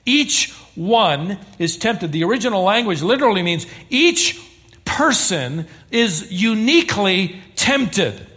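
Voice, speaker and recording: Guy preaching to his church, high voice, earnest and emphatic, really pushes his points. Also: big pitch swings, slow pace, a bit bright. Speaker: pastor. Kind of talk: sermon.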